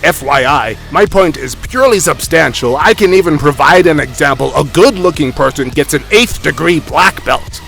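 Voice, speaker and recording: A man's voice: a little high, gravelly, fairly bright tone. A man playing a boastful, indignant internet tough guy who rants profanely in a dramatic reading of forum posts. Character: boastful, ranting, insecure internet tough guy claiming royalty. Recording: music under the voice.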